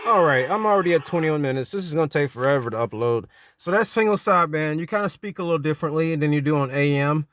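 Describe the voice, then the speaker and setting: A man's voice: fairly high pitch, expressive, quick pace, hardly any pauses, a bit bright. A middle-aged American man talking casually as a CB radio hobbyist, often repeating his phrases. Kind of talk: casual vlog talk and radio calling.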